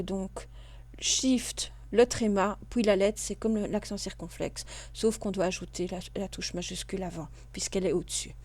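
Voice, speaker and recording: A woman, a computer class instructor calmly talking through a screen tutorial step by step. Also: medium pitch. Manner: patient, step-by-step, with small restarts and fillers. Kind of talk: screen-recorded tutorial narration.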